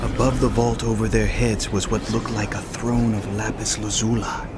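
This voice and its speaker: A man playing an awestruck prophet in a dramatized scripture reading, recounting visions in a solemn, measured, reverent way. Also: lowish voice, level tone, heavy background noise, natural voice. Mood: reverent wonder. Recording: loud music and effects behind voice.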